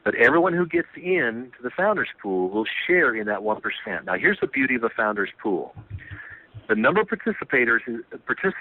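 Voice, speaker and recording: A man's voice: medium pitch, very animated, a little fast, dry recording. A man presenting his company's plan on a conference call, earnest and repetitive, with many restarts and fillers. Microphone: telephone. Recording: tinny phone line.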